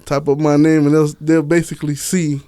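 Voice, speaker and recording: Guy from miami, smooth clear voice, kinda high, slangy candid podcast talk, dry close sound. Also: little pitch movement, brisk, dark tone, no room sound. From Miami, United States. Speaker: interview guest, author and stage play director. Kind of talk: casual podcast chat.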